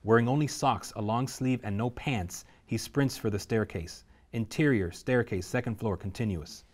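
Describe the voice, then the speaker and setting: A man narrates the action lines at a script table reading. His voice sits low, and he reads with steady, vivid pacing. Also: normal speed. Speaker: narrator reading stage directions.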